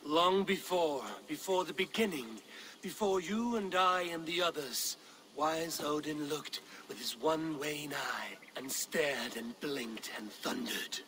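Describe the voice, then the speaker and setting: A viking fighter, wry and blunt, forceful tone a bit high, game scene with noisy ambience. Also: male voice, slow pace. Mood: ominous, theatrical. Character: steadfast Norse viking warrior, wry and blunt. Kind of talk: dramatic video game acting.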